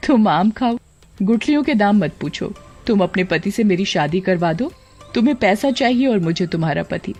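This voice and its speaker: A sly, possessive witch, voiced by a woman narrator, lovesick and vengeful in a supernatural cartoon. She speaks at a medium pitch with music behind her. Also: lively intonation, normal speed, a little mellow. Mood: sly, tempting. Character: scheming lovesick witch, vengeful female ghost, wealthy temptress.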